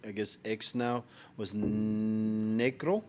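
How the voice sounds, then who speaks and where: A man's voice: lowish voice, steady tone, slow pace. A man from Denmark co-hosting a podcast, chatting casually and bluntly with frequent swearing. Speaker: podcast co-host. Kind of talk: unfiltered casual chat.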